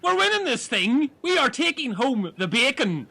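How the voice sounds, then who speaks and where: A man's voice: very animated, moderate pace, creaky, pushed and bright, breathy edge, heavily acted. A man voicing a pompous, scheming male magician with a theatrical, grandiose manner in a comedy sketch. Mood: showy. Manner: grandiose, drops to low menacing tones.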